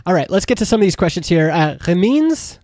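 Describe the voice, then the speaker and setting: A man's voice: high-pitched, very animated, a little fast, darkish tone, no echo, studio quality. A man hosting a podcast, asking questions in a casual, conversational way. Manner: informal, conversational. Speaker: podcast host, interviewer.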